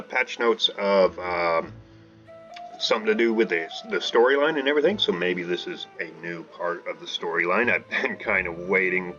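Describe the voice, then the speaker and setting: A man commenting casually on a game he plays, his lowish voice bright and forceful. He rambles with plenty of fillers and talks straight to his viewers. The audio is dull and lo-fi, with faint music running underneath. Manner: rambling, many fillers, addresses viewers as guys. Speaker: gaming video host. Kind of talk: casual let's-play commentary.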